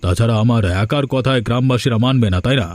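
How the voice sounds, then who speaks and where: A man playing a scheming older village uncle in a Bengali cartoon, speaking in a deliberate, conspiratorial way. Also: mid-range voice, a little mellow, dry recording, natural voice.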